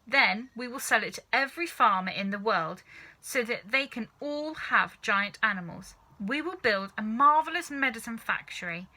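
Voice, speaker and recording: Woman acting overexcited storybook father, urgent exclamations, drawn-out emphatic words, swooping pitch, bright forceful tone. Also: expressive. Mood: exhilarated. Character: manic, excitable middle-aged father, a farmer dreaming of riches. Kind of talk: children's storybook character reading.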